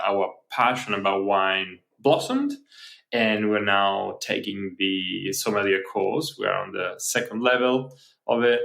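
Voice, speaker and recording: A man who speaks Italian as his first language talks calmly in English as a wine expert guest. His voice is low. It has a gravelly fry running through it. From northwest Italy. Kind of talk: casual interview chat.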